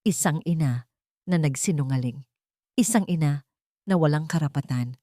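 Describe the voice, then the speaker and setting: A woman with a low voice narrates a dramatic audiobook. Her phrasing is solemn and deliberate, and the sound is dry, with no room echo. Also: dark tone. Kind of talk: scripted dramatic story narration.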